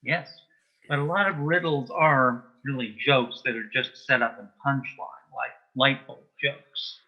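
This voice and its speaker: A man speaking as an interview guest in casual chat, telling jokes and anecdotes in a dry, measured way. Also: mid-range voice, dull audio.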